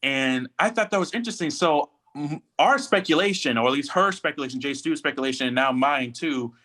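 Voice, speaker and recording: A man's voice: on the high side, fairly quick, pushed and bright. A man chatting casually as a podcast co-host, giving his commentary. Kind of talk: casual chat, pop culture commentary.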